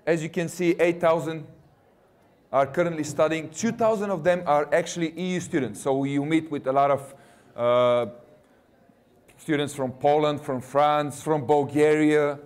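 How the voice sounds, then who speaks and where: A man's voice: on the high side, moderate pace, smooth. A man in his thirties with a Russian accent, a university recruiter giving a jokey, chatty presentation to students and quizzing the audience. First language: not English.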